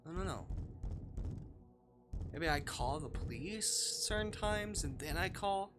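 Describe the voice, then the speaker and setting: Game streamer, mid-range voice, unhurried, very animated delivery, mutters then exclaims, music underneath. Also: mid-range voice. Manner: expressive, swinging between muttering and exclaiming. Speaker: live streamer playing a visual novel. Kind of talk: gaming livestream commentary, reading game text aloud. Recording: music behind the voice.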